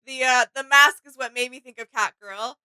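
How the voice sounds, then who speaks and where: High, bright-voiced woman chatting casually. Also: normal speed, smooth, low-quality recording. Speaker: reaction video co-host. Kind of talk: casual unscripted reaction chat.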